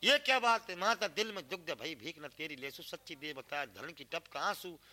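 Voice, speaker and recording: A man's voice: fairly high pitch, normal speed, sharp tone. A man voicing an angel disguised as a wandering fakir in a folk tale, questioning with solemn concern.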